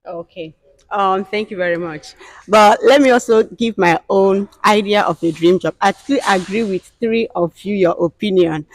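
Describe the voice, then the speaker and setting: A woman from Nigeria hosts a relaxed panel chat, searching for her words and filling the gaps with hesitant ums. Her voice sits in a middle register, and the recording is close and dry with no room sound. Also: medium pitch, no echo. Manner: hesitant, with many fillers. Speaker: host. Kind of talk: casual panel discussion.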